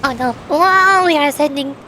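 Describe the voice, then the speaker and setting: High-voiced woman streaming a game. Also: normal speed, bright, forceful tone, faint music behind. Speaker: VTuber streamer. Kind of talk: live game stream commentary with read-aloud voice acting.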